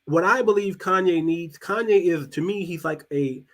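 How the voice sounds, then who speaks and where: Man, casual talk show host, fairly high voice, repeats himself, drops low for emphasis. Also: moderate pace, fairly bright tone. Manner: loose and conversational, repeats and restarts words, drops pitch and volume for emphasis. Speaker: podcast host.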